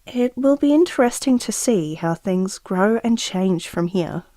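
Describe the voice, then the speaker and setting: A woman's voice: mid-range voice, animated, measured pace, warm tone, dry recording, studio quality, lightly acted. A woman acting a doting expectant mother who muses affectionately and wryly, as if taping an audio diary for her baby. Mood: reflective. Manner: affectionate, musing, wryly self-aware. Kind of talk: intimate audio diary monologue in a drama.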